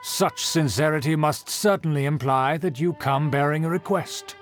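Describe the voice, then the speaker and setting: A man's voice: on the high side, normal speed, close to an everyday voice. An actor playing a haughty, pompous nobleman in a video game, speaking in a formal, grand manner. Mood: gracious. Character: pompous haughty aristocrat, proud of his noble clan. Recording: music playing behind the voice.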